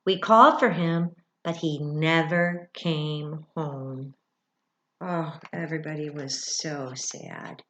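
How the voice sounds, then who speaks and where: A middle-aged woman reads a children's book aloud like a teacher, expressively, pausing for asides and questions to young listeners. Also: sits low, dull audio, slight background noise. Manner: expressive, with dramatic gasps and thoughtful hums. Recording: roomy, echoey indoor sound.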